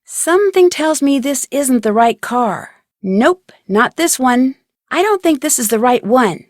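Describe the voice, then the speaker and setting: A woman's voice: high-pitched, animated, unhurried, no echo, clean studio sound. A woman voicing a plucky, curious young female detective in a video game, speaking earnestly and conversationally as if thinking aloud. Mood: musing. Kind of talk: scripted video game voice acting.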